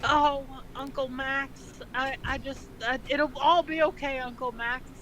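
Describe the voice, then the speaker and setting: A woman co-hosting a dramatic fan fiction reading, mixing narration with casual, deadpan sarcastic remarks. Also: high voice, a little slow, rough recording. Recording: background noise, uneven levels.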